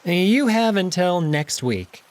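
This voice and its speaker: Man voicing a brisk, commanding jackal schemer. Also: high-pitched, sing-song, voice pushed up, heavily acted. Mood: cold, threatening. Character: stern, scheming male jackal noble, issuing threats.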